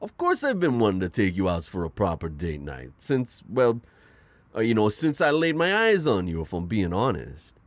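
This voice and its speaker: A man's voice: on the low side, huge pitch swings, normal speed, dry recording. A man playing a charming, smitten mafia boss in an ASMR roleplay, flirting in a hesitant, rambling murmur. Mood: playful, flirtatious.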